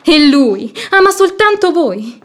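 A woman's voice: sits high, sing-song, takes it slowly, full character voice. An actress playing a bold, meddling maidservant in a classic radio comedy, bossy and emphatic in her scolding. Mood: scolding, reassuring. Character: bold, meddling, sharp-witted maidservant, exasperated peacemaker.

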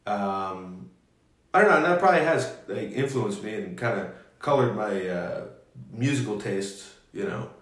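A man's voice: fairly low pitch, expressive, unhurried, a bit bright, recorded from a distance. A man talking casually about his musical roots, rambling with lots of fillers and restarts. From United States. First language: Greek (bilingual with English). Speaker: musician guest. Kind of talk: casual conversation. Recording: echoey room, voice off-mic.

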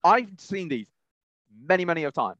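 A man co-hosting a podcast, casually discussing news in a halting, rambling way and often rephrasing mid-sentence. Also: fairly high pitch, big pitch swings, a bit bright, no echo, lo-fi sound. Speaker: podcast co-host.

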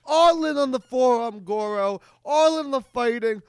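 Male comedy podcaster, very high voice, crude over-the-top enthusiasm, close dry sound. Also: normal speed, clear, resonant tone, no room sound. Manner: exuberant, crude, mock-enthusiastic. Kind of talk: casual movie banter.

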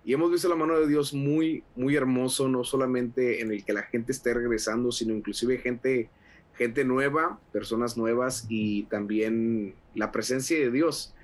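A man's voice: mid-range voice, steady tone, normal speed. A young adult man from Mexico, an associate pastor speaking as a radio interview guest, fervent and full of fillers and restarts.

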